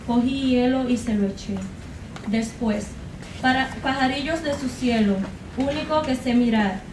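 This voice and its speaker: A woman's voice: sits high, darkish tone. A young woman giving a dramatic poetry recitation at a podium. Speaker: poetry contest reader. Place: college classroom, at a podium. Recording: echoey room, distant mic, faint noise.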